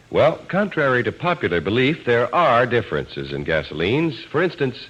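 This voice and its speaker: A man announcing in 1940s radio style, reading a script with measured emphasis. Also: fairly low pitch, a bit bright. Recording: dull old radio recording.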